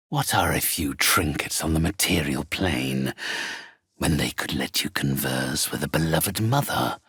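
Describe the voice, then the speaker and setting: An actor playing a haughty, sinister necromancer in a video game, with a raspy, gravelly voice and a formal, theatrical, condescending manner. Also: male voice, very deep voice, fairly bright tone, dry recording, clean studio sound, full character voice. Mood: disdainful. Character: haughty sinister male necromancer who commands spirits. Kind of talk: video game character acting.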